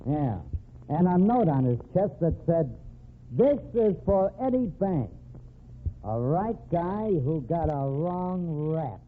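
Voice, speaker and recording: An actor playing a brash, wisecracking American newspaper reporter in an old-time radio drama, cocky and slangy. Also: male voice, a little high, sing-song, takes it slowly, phone-quality sound. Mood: brash, excited. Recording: thin, band-limited, noisy old radio recording.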